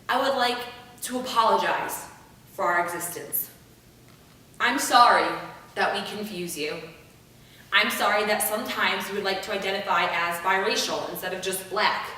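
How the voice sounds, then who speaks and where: Very echoey room, distant mic, young woman, theatrical spoken-word monologue, emphatic, deliberate pauses. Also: mid-range voice, bright, forceful tone. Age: young adult. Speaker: monologue performer. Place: room without an audience.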